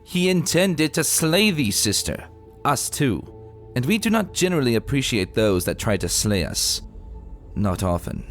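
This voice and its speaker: A man's voice: medium pitch, big pitch swings, a little slow, faint music behind, voice pushed up. Man voicing Luna, haughty archaic pony princess. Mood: weary disdain. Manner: archaic, formal, sighing. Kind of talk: dramatic fan-fiction reading.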